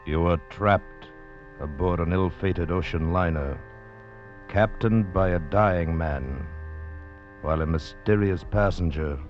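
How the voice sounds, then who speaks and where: An actor playing a menacing, portentous narrator of a 1940s radio suspense teaser. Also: male voice, sits low. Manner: slow, portentous. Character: ominous teaser narrator, menacing and doom-laden. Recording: dull vintage 1940s radio with music.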